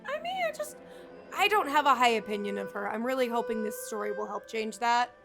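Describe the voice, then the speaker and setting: A woman's voice: on the high side, big pitch swings, a little fast. A woman game streamer giving casual live commentary, informal and chatty with wry asides. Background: game music.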